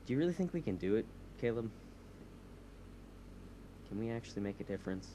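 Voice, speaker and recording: A man's voice: fairly low pitch, expressive, brisk, dark tone, a little hiss or hum, lightly acted. A man playing an insecure young superhero leader, hesitant and earnest, in naturalistic audio drama dialogue. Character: insecure young male superhero leading a movement.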